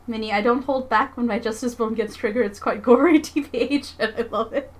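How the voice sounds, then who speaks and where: A woman's voice: a little high, brisk. Playful woman chatting on a livestream. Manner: playful, giggly, expressive. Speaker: live streamer and comics artist. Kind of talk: casual chat with livestream viewers.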